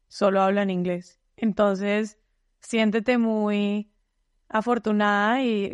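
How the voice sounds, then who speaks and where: A woman's voice: medium pitch, dry recording, studio quality. A Colombian woman talking as a podcast guest in casual conversation, often restarting phrases and using fillers. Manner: talkative, frequent restarts and fillers. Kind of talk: casual interview chat.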